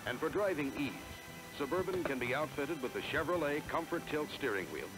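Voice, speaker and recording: A man's voice: on the high side, animated, fairly quick, poor audio. An American man reading scripted promotional narration in a polished, emphatic salesman style, on a 1970s film soundtrack. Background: music.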